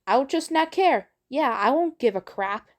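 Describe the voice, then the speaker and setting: A woman talking casually and unscripted as a video creator, in a halting, rambling way with repeated phrases. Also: high voice, expressive. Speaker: video creator introducing own work. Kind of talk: casual unscripted vlog talk.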